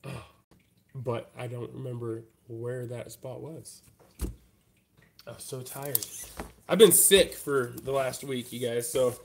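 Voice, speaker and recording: A man's voice: medium pitch, fairly even intonation, slow pace, vocal fry, long pauses, noisy background. A man with a stuffy, congested voice from a head cold, chatting casually with live-stream viewers while working at a bench. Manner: laid-back, chatty, talks straight to viewers. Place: hobby workbench.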